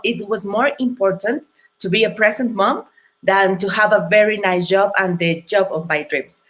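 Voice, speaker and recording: A woman from Mexico with a Mexican accent, a non-native English speaker, telling her story as an interview guest in an earnest, thoughtful and halting way. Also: mid-range voice, fairly bright tone. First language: Spanish. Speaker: guest entrepreneur.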